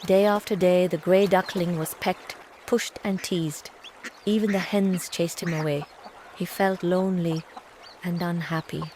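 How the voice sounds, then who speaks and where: A woman's voice: lowish voice, steady tone, unhurried, darkish tone, a little hiss or hum. A woman storyteller reading a scripted children's tale.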